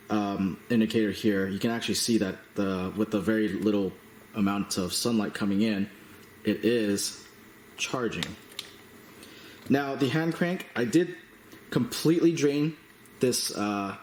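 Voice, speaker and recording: A man giving a casual hands-on product demonstration as a reviewer, speaking in a halting, matter-of-fact way. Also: fairly low pitch, darkish tone. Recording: roomy echo, slight background noise.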